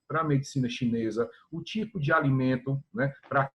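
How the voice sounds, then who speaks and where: A man's voice: mid-range voice, brisk, lo-fi sound, a little hiss or hum. A man from northeastern Brazil, a guest professor giving an explanatory talk over a video call, often closing his phrases with tag questions aimed at his students. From Ceará, Brazil. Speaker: guest professor of Chinese medicine.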